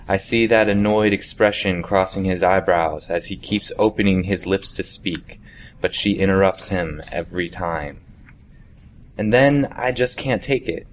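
A man's voice: sits low, measured pace, slight background noise. A young man reading a literary short story aloud as scripted narration. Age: young adult.

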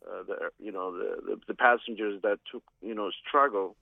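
A man from Somalia calling in to a radio talk show, speaking haltingly with many restarts over a phone line. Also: fairly low pitch, vocal fry, no echo. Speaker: caller.

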